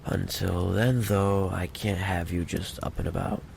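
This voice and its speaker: A man playing a menacing, possessive mafia boss in an ASMR roleplay monologue, with a low, gravelly voice and a deliberate, coolly condescending delivery. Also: level tone, darkish tone, slight background noise, full character voice. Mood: teasing menace.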